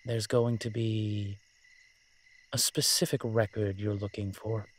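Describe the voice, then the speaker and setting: A man's voice: on the low side, flat delivery, slow pace. A man playing a roguish incubus demon in a roleplay audio drama, talking in a sly, teasing, conversational way. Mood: playful, confident. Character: smooth-talking roguish male incubus demon, playful and scheming.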